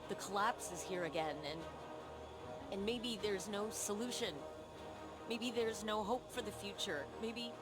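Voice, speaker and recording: An actress playing an engineer in a video game, reflective and full of self-doubt. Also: female voice, mid-range voice, unhurried, close to an everyday voice. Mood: troubled. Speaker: engineer who rebuilt the AI. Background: loud game music.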